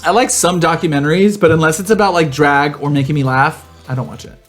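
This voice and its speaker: A man's voice: on the high side, fast, a bit bright, faint music behind. A man co-hosting a casual comedic podcast with sassy, campy, slangy banter. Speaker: podcast co-host.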